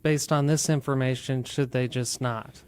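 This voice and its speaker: A man's voice: mid-range voice, fairly even intonation, normal speed, clear, resonant tone. A man hosting a radio talk show and interviewing a guest in a conversational style. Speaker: radio host.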